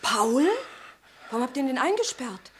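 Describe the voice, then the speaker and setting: A woman's voice: high voice, sing-song, moderate pace, fairly bright tone, a little hiss or hum. A woman playing an alarmed young singer. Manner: alarmed, protesting. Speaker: radio play actress. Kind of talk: radio drama acting.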